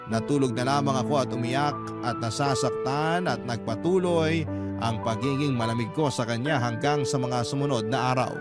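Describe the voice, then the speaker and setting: A man with a Filipino accent narrating a listener's letter as a radio host, in a dramatic storytelling style. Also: mid-range voice. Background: loud music bed.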